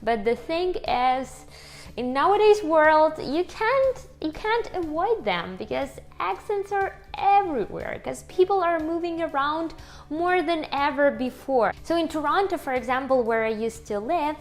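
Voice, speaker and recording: A woman's voice: high voice, animated, measured pace. A woman with a strong Ukrainian accent, chatting casually to the camera in her home kitchen, upbeat and laughing often as she talks to her viewers. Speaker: YouTuber.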